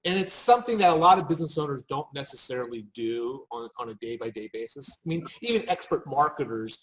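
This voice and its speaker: A man's voice: medium pitch, animated, quick pace, rough recording. A man speaking off the cuff as a marketing expert in a panel conversation, emphatic and anecdotal, often restarting his phrases.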